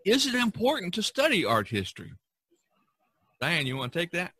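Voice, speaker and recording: A man's voice: high voice, huge pitch swings, moderate pace, bright, forceful tone, slightly rough, dry recording. A man hosting a podcast in a casual, conversational style. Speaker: podcast host.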